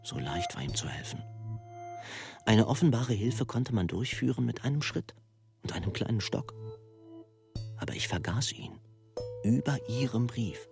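A man giving a measured, reflective literary reading of letters and verse. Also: medium pitch, animated, creaky, a little mellow, soft background music.

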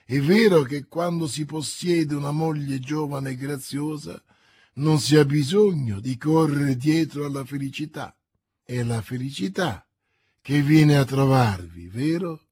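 A cool young swordsman voiced by a man, terse and guarded, keeping his voice low and even. Slow delivery, husky and dry. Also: no room sound, exaggerated voice. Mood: ironic.

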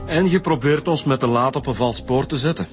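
An actor playing a level-headed police detective and second interrogator in a Flemish radio drama. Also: male voice, medium pitch, fairly quick, faint music behind, lightly acted. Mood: accusing. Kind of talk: naturalistic radio drama acting.

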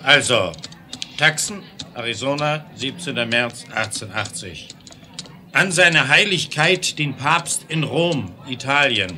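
A man's voice: a little high, bright, forceful tone, exaggerated voice. An actor playing a confident, amused Wild West townsman with a declaiming delivery in a German radio play. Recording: slightly noisy 1970s record.

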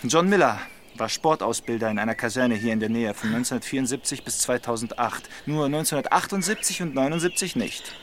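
An actor playing a sardonic, know-it-all detective inspector in a radio crime drama, teasing and lecturing. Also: male voice, medium pitch, brisk, hardly any pauses, fairly bright tone, a little hiss or hum, lightly acted. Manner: mocking, lecturing. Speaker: police detective.

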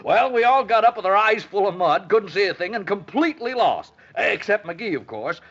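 An actor playing a jovial army captain in a 1950s radio comedy, husky-voiced and eagerly telling stories. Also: male voice, high voice, normal speed, full character voice. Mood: excited storytelling. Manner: animated storytelling with chuckles. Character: jovial army captain, eager storyteller, fond of his comrade. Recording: muffled old radio recording.

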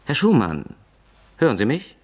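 An actor playing a correct, discreet presidential aide in a 1960s radio play, formal and polite. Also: male voice, medium pitch, huge pitch swings, normal speed, darkish tone, slightly rough.

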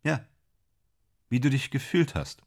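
A man's voice: mid-range voice, big pitch swings, unhurried. A man playing a preoccupied stage actor in a radio drama, talking in hesitant, clipped phrases. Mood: probing. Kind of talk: naturalistic German radio drama acting.

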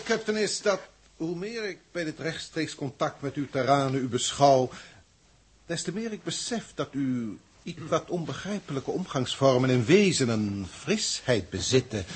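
An actor in a 1960s science fiction radio play playing a formal, aloof alien examiner with stilted, deliberate wording. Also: male voice, medium pitch, sing-song, husky. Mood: reflective, admiring. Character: alien Proctor, formal, learned, aloof male galactic examiner.

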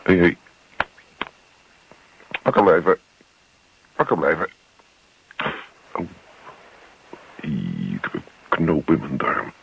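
Sorry-for-himself grumpy husband in a radio play, husky low voice, mumbly and hesitant, dull old recording. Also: male voice, sing-song, slow, pauses often, exaggerated voice. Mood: weary, self-pitying. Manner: muttering to himself, hesitant and put-upon. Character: grumpy, self-pitying husband stuck on the toilet.

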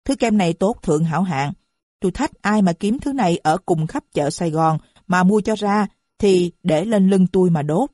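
A woman voicing a shrill, boastful, foul-mouthed middle-aged mother who screeches her abuse. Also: lively intonation, normal speed, mellow, dry recording, clean studio sound.

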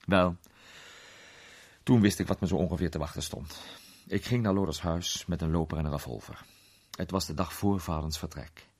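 A man playing an urbane gentleman detective who recounts his case with measured, suspenseful pauses in a radio play. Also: low voice, breathy edge. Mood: composed, confiding. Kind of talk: dramatised storytelling in a radio play.

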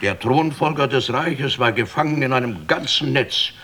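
A man's voice: mid-range voice, lively intonation, normal speed, a bit bright, a little hiss or hum. An actor playing a stern, watchful man narrating emphatically in a radio drama. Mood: tense, grave.

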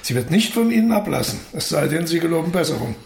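Gravelly, sardonic writer. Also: male voice, on the high side, big pitch swings, fast talker, a little hiss or hum. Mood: dry teasing. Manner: dry, teasing. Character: Klaassen, sardonic older rebellious writer, Elzbieta's husband. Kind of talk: radio drama dialogue.

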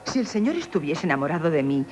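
An actress with a Castilian Spanish accent playing a witty, proud young innkeeper in a theatrical radio comedy, teasing and emphatic. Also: female voice, low voice, big pitch swings, fast talker, darkish tone, soft background music. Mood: coolly provoking. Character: witty, coquettish, proud young female innkeeper, mock-humble. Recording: hissy 1970s radio broadcast.

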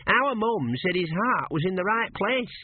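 A man reading an audiobook, voicing a plump, excitable young male apprentice embalmer who is keen to please. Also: sits high, measured pace, slight background noise, voice pushed up. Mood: curious. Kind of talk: audiobook character voice. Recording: tinny radio broadcast.

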